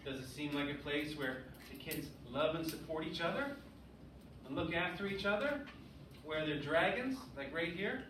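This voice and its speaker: A man teaching a grade school English class, often checking understanding with short questions. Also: fairly high pitch. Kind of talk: classroom lesson with read-aloud. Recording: echoey, noisy room, distant mic.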